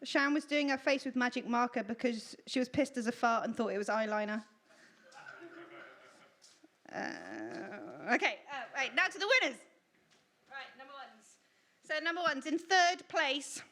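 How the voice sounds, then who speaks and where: High-pitched woman presenting informally. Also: fairly bright tone. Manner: giggly, self-deprecating, jokey. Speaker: presenter announcing writing contest winners. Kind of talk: informal live announcing, reading out entries. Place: live storytelling event at a venue.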